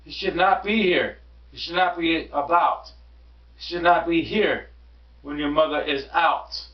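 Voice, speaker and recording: A man's voice: fairly high pitch, measured pace, close to an everyday voice. A man voicing an anxious, fussy pet goldfish in an alarmed, protesting storybook voice. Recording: roomy, distant, dull sound.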